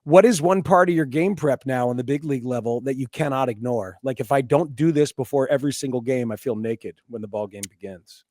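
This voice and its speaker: A man's voice: mid-range voice, brisk, few pauses, darkish tone, no echo, studio quality. A middle-aged man hosting a sports podcast, interviewing conversationally in a wry, teasing, wordy way.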